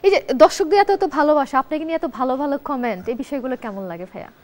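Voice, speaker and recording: A woman's voice: high-pitched, fast. A woman with a Bangladeshi accent hosting a conversational celebrity interview, interjecting as she listens. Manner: frequent listening murmurs and interjections. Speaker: talk show host.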